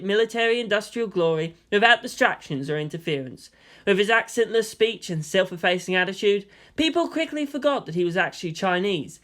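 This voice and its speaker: A man reading a story aloud as a narrator, with expressive, deliberate phrasing and a dramatic scripted style. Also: high-pitched. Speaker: fan fiction narrator.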